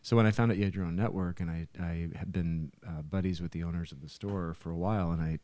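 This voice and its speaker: A middle-aged man with a very low, soft-edged voice chats as a podcast host. He rambles and doubles back on his words as he explains. The sound is dry. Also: fairly even intonation, quick pace, no room sound. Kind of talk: casual podcast chat.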